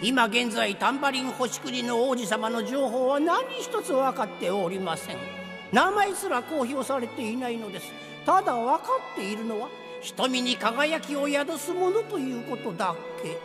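A man's voice: very high voice, expressive, moderate pace, in a large hall, music in the background. A man playing an elderly, formal, fussy royal retainer with archaic speech in an anime cartoon. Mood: formal, explanatory. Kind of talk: Japanese anime character acting.

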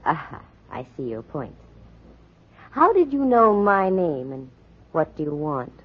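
A sassy female private eye from a 1940s radio detective show. Her voice is husky and her delivery dry and sardonic. The sound is muffled, like an old broadcast. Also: sits low, big pitch swings, measured pace, heavily acted. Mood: dryly teasing.

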